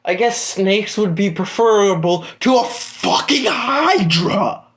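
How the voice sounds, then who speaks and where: Exasperated, foul-mouthed young male hero, slow delivery, read by the narrator. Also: mid-range voice, expressive, fairly bright tone, voice pushed up. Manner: swears constantly, grumbling.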